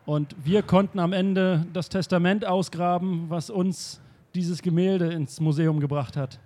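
Ein Mann spielt einen zögerlichen Museumsdirektor. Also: male voice, sits high, steady tone, unhurried, a little mellow. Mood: fussy. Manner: hesitant, stammering, fussy. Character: flustered male museum director, older. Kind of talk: improvised radio play.

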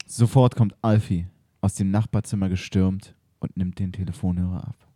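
A man's voice: low-pitched, fairly even intonation, normal speed, dark tone. A man narrating an improvised radio play in a dramatic, playful way.

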